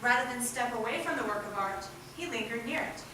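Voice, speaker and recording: A woman's voice: fairly high pitch, fairly quick. A woman narrating a scripted story as part of a readers' theatre group. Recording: echoey hall, distant mic, loud noise.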